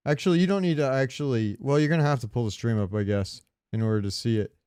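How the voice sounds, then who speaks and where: A man's voice: medium pitch, fast talker, dark tone, smooth, dry recording, studio quality. A man co-hosting a gaming podcast in casual, rambling banter, often thinking aloud as he talks. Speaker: podcast co-host.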